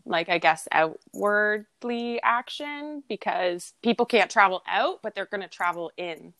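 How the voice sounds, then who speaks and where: A cheerful woman co-hosts a podcast, laughing often and wandering through her stories. Her pitch is ordinary. The sound is dry, with no room echo. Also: lively intonation, unhurried, fairly bright tone. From Ontario, Canada. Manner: cheerful, giggly, rambling. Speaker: podcast co-host. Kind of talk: casual chat.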